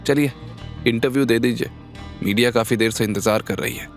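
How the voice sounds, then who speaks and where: A man's voice: mid-range voice, moderate pace, soft background music, lightly acted. A man playing a scheming grown son who acts dutiful in an audio drama. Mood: polite urging.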